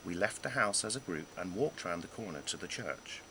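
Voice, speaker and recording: A man reading his own humorous memoir aloud in a wry, self-deprecating way, with dramatic pauses and emphasis. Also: deep voice, animated, noisy background. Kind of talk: scripted narration of a humorous memoir.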